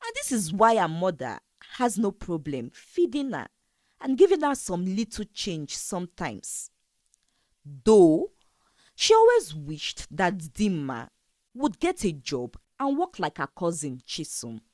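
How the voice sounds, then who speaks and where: A woman's voice: lowish voice, wildly swooping pitch, slow pace, darkish tone, dry recording, studio quality. A woman narrating a scripted folktale as a storyteller.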